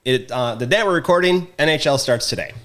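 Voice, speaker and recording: A man's voice: mid-range voice, lively intonation, brisk, fairly bright tone. A middle-aged man, hosting a casual podcast with laid-back, joking banter. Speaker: podcast host.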